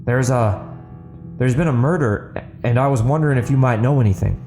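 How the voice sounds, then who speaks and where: Audio book role read by a man: uneasy gangster boss, soft-toned, careful, placating. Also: medium pitch, a little fast, soft background music, lightly acted. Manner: hesitant, placating. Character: uneasy male gang officer seeking information.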